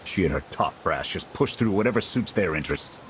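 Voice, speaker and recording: An actor playing a weary, principled soldier in a video game, gruff and terse. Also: male voice, deep voice, animated, fairly quick. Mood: weary, bitter. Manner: terse, military, matter-of-fact. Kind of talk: scripted video game drama acting. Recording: faint game ambience and noise.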